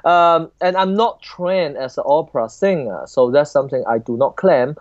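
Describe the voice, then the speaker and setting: Man, Chinese accent, higher voice, halting emphatic English, interview guest, dry lo-fi recording. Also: no room sound. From southern China. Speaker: opera composer, podcast guest. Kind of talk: interview talk.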